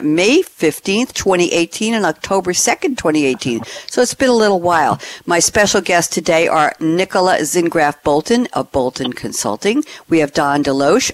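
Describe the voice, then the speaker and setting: Enthusiastic female radio host for tech talk, keeps things moving. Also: fairly low pitch. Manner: enthusiastic, listing items in quick strings. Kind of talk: hosting a business tech radio talk show.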